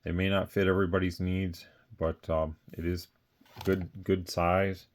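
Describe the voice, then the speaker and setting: A man with a deep voice talks off the cuff, like a small seller showing an item. His delivery stays quite flat and plainspoken. He rambles a little, qualifying his points as he goes. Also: unhurried. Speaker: radio seller presenting a product. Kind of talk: casual off-the-cuff product talk.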